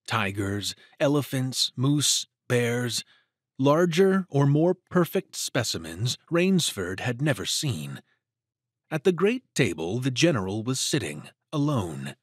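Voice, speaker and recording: A man narrates a dramatic story in a husky, mid-pitched voice. His delivery is very expressive, in a close, dry recording. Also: takes it slowly, no room sound.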